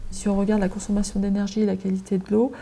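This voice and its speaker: A woman's voice: medium pitch, monotone, very fast, vocal fry, warm tone, a little hiss or hum. A woman, a farm adviser explaining audit results methodically at a farmhouse kitchen table, with many fillers.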